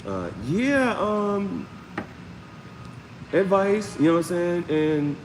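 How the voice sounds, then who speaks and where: A man's voice: sits high, lively intonation, slow, background noise. A man hosting a live tarot reading, talking in a casual, rambling, conversational way with frequent filler phrases. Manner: rambling, conversational, repeats "you know what I'm saying".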